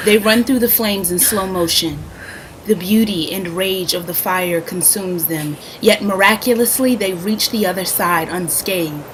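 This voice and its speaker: A woman's voice: fairly low pitch, measured pace. A woman reading a play's stage directions aloud as scripted narration at a staged reading. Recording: clearly noisy stage recording.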